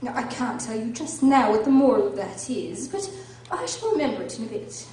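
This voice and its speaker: Chummy, preachy storybook Duchess; high, affected, theatrically gushing radio acting, distant and noisy recording. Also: female voice, big pitch swings, brisk, mellow. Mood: fond, preachy. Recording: echoey room, distant mic, clear background noise.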